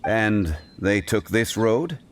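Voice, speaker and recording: A man voicing a composed, learned gentleman in a video game, speaking formally and deliberately. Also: fairly low pitch, expressive, distant mic, a little hiss or hum. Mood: calm, inquiring.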